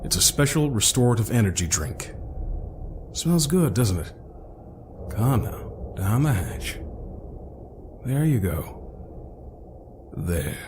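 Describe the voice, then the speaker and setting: A man's voice: mid-range voice, sing-song, slow pace, pauses often, noisy background. A man playing a witty, fussy, eccentric scientist-adventurer in an audio drama, chatty and theatrical with mock-formal asides. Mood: reassuring, coaxing.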